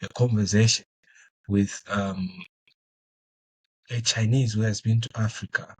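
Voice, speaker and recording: A man's voice: on the low side, steady tone, a little slow, breathy edge, rough recording. A young man from Zimbabwe whose first language is Shona, answering interview questions thoughtfully with many fillers and restarts. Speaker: guest.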